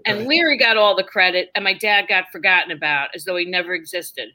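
A woman with a New York accent, telling lively stories as a podcast guest and acting out the people in them. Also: on the low side, fairly quick, low-quality recording. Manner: animated, acts out voices in her stories. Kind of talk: casual storytelling chat.

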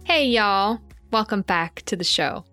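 A woman's voice: mid-range voice, lively intonation, measured pace, bright, forceful tone, no echo, soft background music. Canadian woman, podcast host, gushing casual chat, dry close sound. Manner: enthusiastic, gushing, many restarts.

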